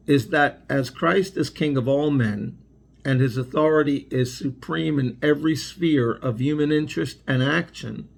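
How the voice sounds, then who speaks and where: Gravelly, husky man reading a religious lecture. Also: medium pitch. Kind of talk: read-aloud religious lecture with spoken asides.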